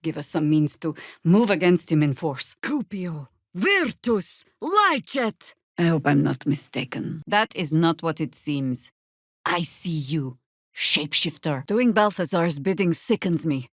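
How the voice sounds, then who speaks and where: A woman voicing a battle-weary, middle-aged druid in a fantasy video game, dry and wry, speaking in measured, formal phrases. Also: deep voice, sing-song, no echo, heavily acted. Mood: grave, measured, then incanting spells. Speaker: video game companion character.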